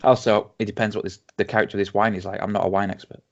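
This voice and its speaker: A man's voice: fairly low pitch, flat delivery. Yorkshire man bantering over whisky. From Yorkshire, England. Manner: reads tasting notes aloud slowly, banters. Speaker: podcast co-host. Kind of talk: casual tasting chat. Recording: dull lo-fi home podcast audio.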